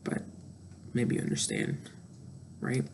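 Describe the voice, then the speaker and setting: A woman's voice: takes it slowly, a little mellow, slight background noise. A woman in her thirties talking candidly and introspectively in a reflective personal monologue, with frequent fillers. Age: 30s. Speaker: vlogger.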